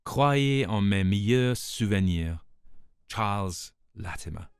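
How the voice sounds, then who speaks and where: Man reading as a weary gentleman writer. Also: lowish voice, lively intonation, takes it slowly. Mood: weary, wistful. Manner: formal, wistful, literary. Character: weary, disillusioned gentleman writer narrating his letter.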